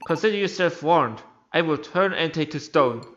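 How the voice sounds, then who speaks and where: A man's voice: on the high side, lively intonation, moderate pace, fairly bright tone, muffled recording, slight background noise. A man who is a non-native English speaker reads a video game's story and dialogue aloud in a theatrical way. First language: not English. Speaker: amateur game playthrough narrator. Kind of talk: voiced story playthrough of a video game. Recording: music behind the voice. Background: video game music.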